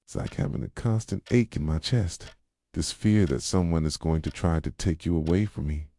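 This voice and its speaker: A man playing a jealous, possessive yandere boyfriend in an intimate ASMR roleplay, speaking softly and tenderly and slipping into whispers. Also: moderate pace, no room sound, studio quality. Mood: anxious, intense. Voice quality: soft, low, slipping into whispers. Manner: tender, brooding, confessional.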